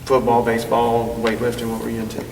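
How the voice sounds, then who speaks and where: A man hosting an interview, asking questions and chiming in with quick, joking remarks. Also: mid-range voice, completely flat delivery, distant mic. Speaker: host. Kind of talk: casual interview. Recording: echoey room heard from afar with faint noise.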